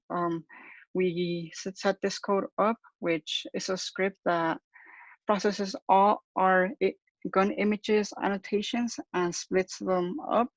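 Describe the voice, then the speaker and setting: A man's voice: high voice, no echo. A young man giving a hesitant, explanatory student presentation over a lo-fi video call. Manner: hesitant, halting. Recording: dull lo-fi call audio.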